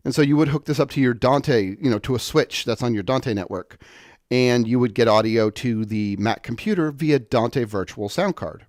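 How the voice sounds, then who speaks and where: Lower-pitched man giving an informal tech tutorial. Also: a little mellow, no echo, studio quality. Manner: halting, thinking aloud, self-correcting. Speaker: product tutorial presenter. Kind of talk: informal technical tutorial, unscripted explanation.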